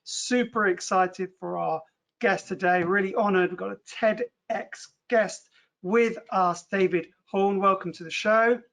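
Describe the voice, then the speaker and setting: Male host, high gravelly voice, energetic, fires off lists. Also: a little slow. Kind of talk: upbeat show introduction.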